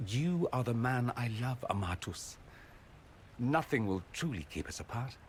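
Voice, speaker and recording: An actor voicing a flamboyant, witty noble mage in a video game, theatrical and wry, with tenderness under the sarcasm. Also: male voice, mid-range voice, very animated, unhurried, a little hiss or hum. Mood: teasing, affectionate. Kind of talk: video game cutscene acting.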